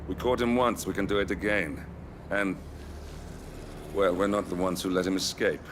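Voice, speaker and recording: An actor playing a sardonic ally and narrator, easygoing and dry. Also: male voice, low voice, normal speed, background noise, close to an everyday voice. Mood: wry. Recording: game cutscene over music.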